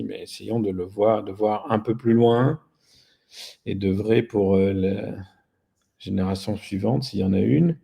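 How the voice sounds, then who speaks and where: A man's voice: fairly low pitch, vocal fry, dark tone, breathy edge. A man speaking as an interview guest, explaining things casually with a wry, self-deprecating manner. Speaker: guest, company founder.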